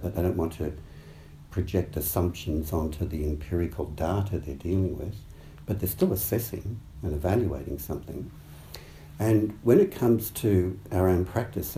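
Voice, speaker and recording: A man with a croaky voice giving a dharma talk as a meditation teacher, speaking haltingly and thoughtfully with many fillers and restarts. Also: low voice, warm tone. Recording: roomy echo, faint background noise.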